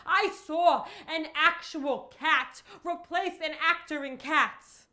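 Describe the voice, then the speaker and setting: A woman's voice: sits high, slow, pushed and bright, pitched above the performer's own voice, exaggerated voice. A woman playing a melodramatic survivor of amateur theater in a mock confession, theatrical, haunted and overwrought. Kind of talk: mock-confessional comedy monologue.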